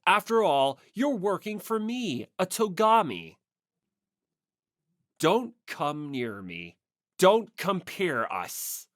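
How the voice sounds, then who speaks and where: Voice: mid-range voice, very animated, slow, a bit bright, no room sound, studio quality. A narrator voicing a cold, arrogant rich schoolboy in a manga audiobook, pompous and disdainful. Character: haughty, cold, arrogant rich teenage boy.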